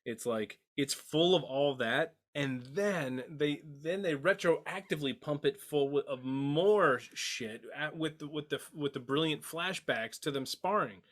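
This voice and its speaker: A man's voice: a little high, unhurried, few pauses, a bit bright. A man talking emphatically and with strong opinions in a group discussion about a series, repeating phrases for emphasis. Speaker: podcast panelist.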